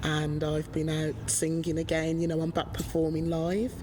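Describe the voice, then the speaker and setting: Low-voiced woman, chatty and halting. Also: monotone, background noise. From Birmingham, England. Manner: hesitant, many ums, chatty and rambling. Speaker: singer in a band, interviewee. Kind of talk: casual interview talk. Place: music venue with murals.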